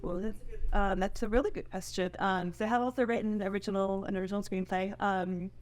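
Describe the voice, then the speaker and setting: A woman screenwriter answers questions in a casual, chatty way. Her mid-pitched voice moves along in loose, conversational phrasing, with plenty of small asides. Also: steady tone, fast, a little mellow. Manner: chatty, loosely phrased with frequent 'you know' and 'like'. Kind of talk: casual spoken Q&A.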